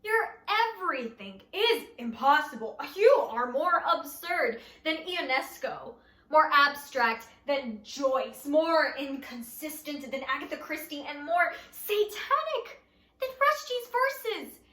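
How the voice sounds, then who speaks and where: A woman's voice: high voice, big pitch swings, takes it slowly, bright, forceful tone, roomy sound. A woman who is a writer, performing a spoken word poem with a theatrical, emotional and mocking delivery. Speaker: poet, writer.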